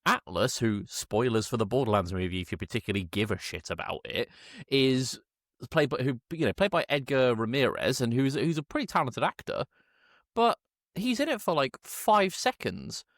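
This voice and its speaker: A man's voice: medium pitch, big pitch swings, normal speed, fairly bright tone, dry recording, studio quality. A man co-hosting a casual film review chat, sardonic, exasperated and sweary. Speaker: podcast co-host.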